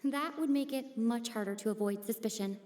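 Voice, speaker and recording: A woman playing a calm, analytical young scholar in a video game, reasoning thoughtfully aloud. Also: fairly high pitch, brisk, long reverb tail, close to an everyday voice. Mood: pensive, cautious. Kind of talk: measured video game dialogue.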